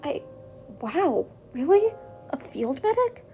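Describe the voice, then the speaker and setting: A woman acts out a nervous teenage boy in an audio story. The voice is high, polite and flustered, hesitating as it goes. Soft music plays underneath. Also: sing-song, slow pace, muffled recording, full character voice. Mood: hesitant. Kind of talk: acted storybook dialogue.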